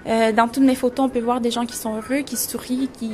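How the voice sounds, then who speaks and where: A woman's voice: fairly high pitch, little pitch movement, fairly quick, warm tone, soft background music. A woman in her twenties from Venezuela, a photographer and journalism student, speaking reflectively in an interview and searching for her words. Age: 20s.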